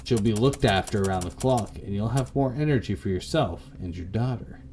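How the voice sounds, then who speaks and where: A man voicing a calm, pragmatic advisor on a phone call in a visual novel, measured and matter-of-fact. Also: on the low side, slight background noise, lightly acted. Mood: measured, reassuring.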